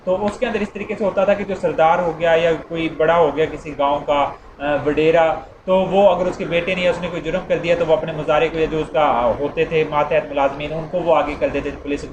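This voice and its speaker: A man's voice: on the high side, fairly even intonation, brisk, few pauses. A man with a Pakistani accent gives an informal explanatory lecture, repeating and rephrasing his points and mixing in English legal terms. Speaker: law lecturer. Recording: roomy, dull, clearly noisy recording.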